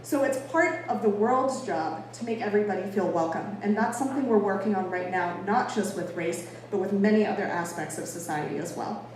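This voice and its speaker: A woman's voice: medium pitch, brisk. An American woman from Boston giving an informal public talk in an emphatic, conversational, playfully self-deprecating way. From Boston, United States. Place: echoey indoor venue with an audience. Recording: echoey room, distant mic, faint noise.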